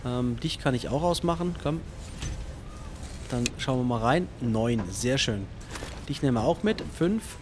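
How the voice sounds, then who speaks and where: A man's voice: medium pitch, lively intonation, unhurried, long pauses, noisy background. A man giving casual let's play commentary, thinking aloud and chatting to himself and his viewers.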